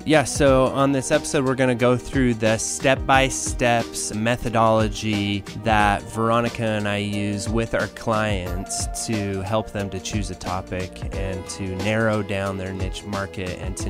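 A man co-hosting a podcast in a casual, upbeat, promotional way. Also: lowish voice. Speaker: podcast co-host.

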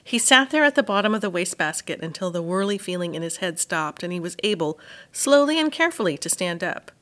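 A woman's voice: fairly low pitch, sing-song, quick pace, fairly bright tone. A woman who teaches elementary school, reading a children's novel aloud as an audiobook narrator.

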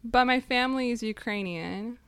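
A woman's voice: fairly high pitch, moderate pace, a bit bright, clean tone. A young adult woman with Russian as her first language, chatting playfully as a podcast guest. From United States. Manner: chatty, playful, laughs often. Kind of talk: casual chat.

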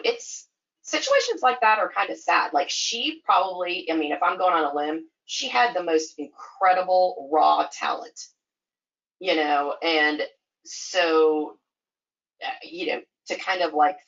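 A woman with a notably deep voice speaks as a podcast guest. She is chatty and loose, full of fillers and quick laughs. Her voice sounds somewhat muffled and lo-fi. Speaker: guest, skating association staff. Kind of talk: casual podcast interview.

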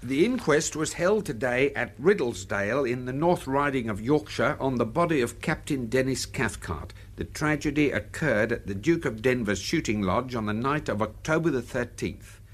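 An actor playing a deferential, composed gentleman's valet in a radio drama, formal and measured, with an English accent. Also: mid-range voice, lively intonation, close to an everyday voice.

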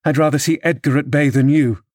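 A man's voice: on the high side, steady tone, a little fast, a little mellow, voice pushed up, lightly acted. A man voicing an impassioned, scornful young married woman with an imperious, theatrical manner in an audiobook novel. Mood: urgent, angry. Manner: theatrical, emphatic, exclamatory.

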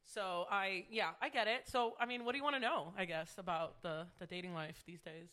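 A woman's voice: mid-range voice, brisk, bright, forceful tone. A woman in her late twenties chatting casually as a guest on a podcast. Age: late 20s. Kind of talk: casual chat.

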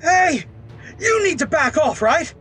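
A man voicing an angry, frightened man who shouts challenges at a menacing stranger in a horror story. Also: very high-pitched, very animated, slow, pitched above the performer's own voice, full character voice. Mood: angry, challenging.

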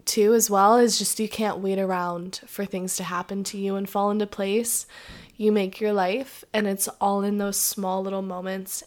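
A woman hosting her own podcast in a casual, rambling chat, her voice raspy from a sore throat, with lots of "like" and "um". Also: medium pitch, darkish tone. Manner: chatty, rambling, many "like"s and "um"s. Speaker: podcast host. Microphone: podcast microphone.

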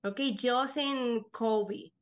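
A young woman whose first language is Spanish, talking earnestly in halting English with fillers as an interview guest. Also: fairly high pitch. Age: young adult. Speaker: guest, life insurance agent. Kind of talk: casual interview chat.

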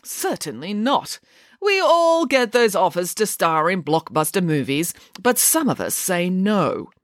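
Woman as a bossy, animated lady pig nanny. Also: on the low side, a little slow, no room sound, studio quality, pitched above the performer's own voice. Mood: haughty. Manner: imperious, indignant, emphatic. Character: bossy, proud, theatrical female pig nanny. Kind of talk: children's storybook character voice.

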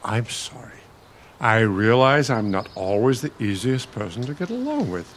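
A man's voice: mid-range voice, big pitch swings, normal speed, a little hiss or hum, natural voice. A man playing an irritable elderly bookish husband in a radio play, testy, condescending and sardonic. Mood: insistent. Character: irritable elderly bookish husband, pompous retired college dean.

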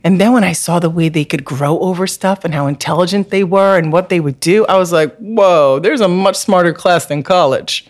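An earnest woman speaking as a guest therapist, telling a candid story. Her voice sits a bit low. She talks in a spiritual way, with frequent fillers. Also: normal speed, hardly any pauses. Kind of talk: personal storytelling interview.

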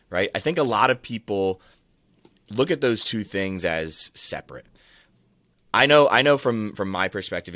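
A man's voice: sits low, bright, forceful tone, no room sound. A man hosting an interview, friendly and asking long, winding questions. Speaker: podcast host. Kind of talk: casual interview.

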